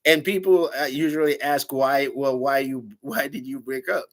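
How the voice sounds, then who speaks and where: A man on a dating talk show telling a story about his ex in a casual, animated way, with a big, loud voice. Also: mid-range voice, normal speed. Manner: animated, laughing, quotes himself in raised voice.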